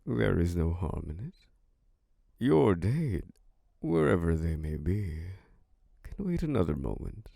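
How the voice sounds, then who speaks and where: Ancient vampire lord, played by a man: very deep, unhurried, flirtatious and possessive, in an ASMR drama. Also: very animated, no echo, clean studio sound, full character voice. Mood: seductive. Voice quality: deep, gravelly. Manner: slow, velvety and flirtatious, with soft laughs. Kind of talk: ASMR roleplay audio drama.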